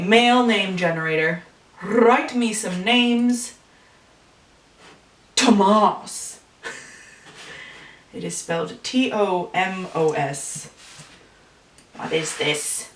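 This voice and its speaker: A woman's voice: medium pitch, a bit bright. A woman casually making up and telling a story, chatty and thinking aloud. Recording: roomy echo from a distance, faint music.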